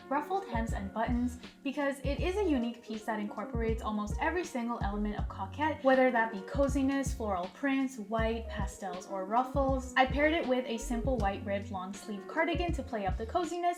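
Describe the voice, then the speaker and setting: A young woman in her twenties, a native Georgian speaker, narrating a scripted fashion style guide in a soft, airy, gentle voice. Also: a little high. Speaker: fashion YouTuber. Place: bright room by a curtained window. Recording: clear music under voice.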